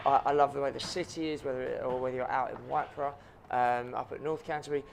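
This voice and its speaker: Gravelly New chef, earnest. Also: male voice, medium pitch, level tone, quick pace, slight background noise. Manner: earnest, frequent ums and repeated words. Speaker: chef and restaurant owner. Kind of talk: interview answers.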